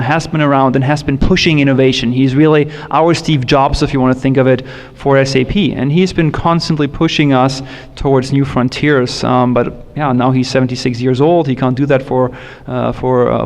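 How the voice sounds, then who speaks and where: A man's voice: medium pitch, fairly even intonation, a little fast, few pauses. A man from Germany with a German accent, giving friendly, fluent opening remarks as a panelist. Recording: echoey hall with long reverb.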